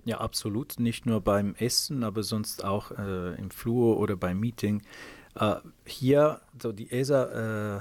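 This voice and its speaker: A man's voice: on the low side, creaky. A middle-aged Italian man with an Italian accent, answering interview questions in hesitant German full of filler sounds. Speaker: space mission software engineer. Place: office at a space operations centre.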